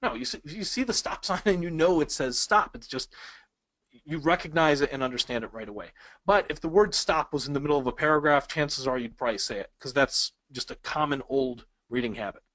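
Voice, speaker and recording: A man's voice: a little high, animated, fairly quick, a bit bright, no echo. A man whose first language is Polish, teaching speed reading in a conversational webinar and asking the audience questions. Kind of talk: webinar lesson.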